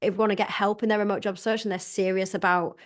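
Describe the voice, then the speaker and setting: A woman's voice: mid-range voice, level tone, quick pace. A woman career coach and former recruiter answering questions as a webinar guest, earnest and often repeating words as she restarts, heard over a video call.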